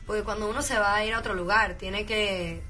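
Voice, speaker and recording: A woman's voice: mid-range voice, steady tone, brisk, bright, forceful tone, soft background music. A young woman from Venezuela with a Cuban Spanish accent, chatting casually with frequent laughs, fillers and restarts. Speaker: actress answering fan questions. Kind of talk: casual chat, switching between Spanish and English.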